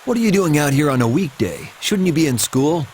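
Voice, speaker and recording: Man playing a stern policeman, firm and unhurried. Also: on the high side, animated, darkish tone, a little hiss or hum, lightly acted. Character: stern but lenient adult policeman lecturing kids. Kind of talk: English anime game dub.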